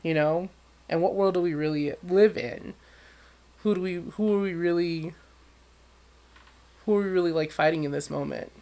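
A man who sings professionally, talking as an interview guest with a high voice and an animated, storytelling manner. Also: normal speed, long pauses. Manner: animated storyteller, acts out quotes with big leaps in pitch. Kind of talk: conversational interview.

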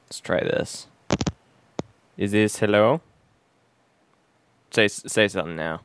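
A man's voice: on the low side, slow pace, a little hiss or hum. A man co-hosting a podcast in casual, irreverent banter, joking and ranting with plenty of profanity and sarcasm. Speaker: podcast co-host.

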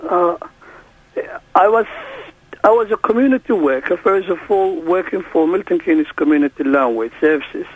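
A man whose first language is Somali, a court interpreter speaking as a guest in a halting way, with many restarts. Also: high voice, breathy edge. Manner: halting, restarts often, non-native phrasing. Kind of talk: phone interview. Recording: tinny phone line.

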